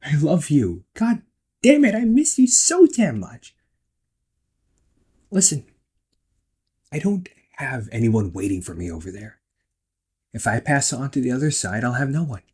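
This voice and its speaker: Man acting a husky, devoted ghost brother. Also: medium pitch, big pitch swings, measured pace, pauses often. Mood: tender, wistful. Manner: emotional, swearing, swings from outbursts to soft confessions. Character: protective ghost brother of a psychic detective, exasperated, devoted. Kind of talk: amateur audio roleplay, one-sided emotional dialogue.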